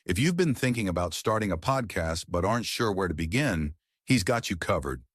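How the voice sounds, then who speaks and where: A man with a very deep voice reads a scripted promo, recorded close and dry. His delivery is smooth and salesy. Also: very animated, fairly quick, a bit bright, no echo. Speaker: ad narrator.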